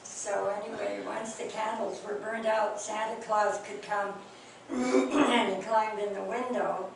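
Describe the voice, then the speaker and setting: An older woman telling family memories to a small group in a hesitant, reminiscing way, with many pauses and fillers. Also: mid-range voice, fairly even intonation, vocal fry. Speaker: speaker recalling family memories. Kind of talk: reminiscing oral history talk. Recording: echoey room, distant mic, noisy.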